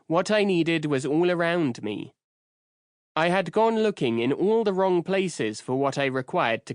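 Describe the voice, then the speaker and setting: A man narrating a nonfiction audiobook in the first person, reading in a measured, clear way on a close studio microphone. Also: medium pitch, no echo.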